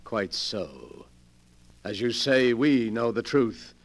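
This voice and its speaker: An actor playing a sly, imperious wealthy collector of grim relics in a 1940s radio drama. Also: male voice, mid-range voice, sing-song, exaggerated voice. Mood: sly, smug. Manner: imperious, deliberate, ominous. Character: sly, wealthy wheelchair-bound male collector of murder relics. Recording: old 1940s radio broadcast.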